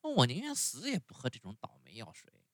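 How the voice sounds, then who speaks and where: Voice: mid-range voice, huge pitch swings, brisk, no room sound, clean studio sound, voice pushed up. A storyteller voicing a stubborn, whining wooden puppet boy who is sick in bed. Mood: wailing. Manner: whining, pleading, wailing.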